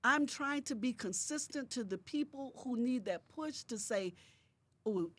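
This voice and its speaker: A woman in her fifties with a high voice talks in a podcast studio, giving strong, motivational points. She repeats her key phrases for emphasis, like a preacher. The sound is close and dry. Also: lively intonation, a little slow, a bit bright, no echo. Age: 50s. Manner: emphatic, preacher-like repetition of affirmations. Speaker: guest, author. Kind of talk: motivational conversation.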